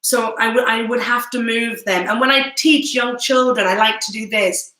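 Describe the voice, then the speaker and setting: A woman with a Yorkshire accent, co-hosting as an English teacher, talking quickly and agreeably and asking questions. Her voice is bright and a little high. The recording is roomy and echoing. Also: steady tone. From Yorkshire, England. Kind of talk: casual chat about their English club. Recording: echoey room.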